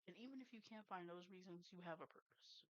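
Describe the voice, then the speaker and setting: A woman's voice: fairly low pitch, fast talker, lo-fi sound. A young woman hosting a livestream podcast, talking in an earnest, rambling, heartfelt way with casual swearing. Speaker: streamer and podcast host.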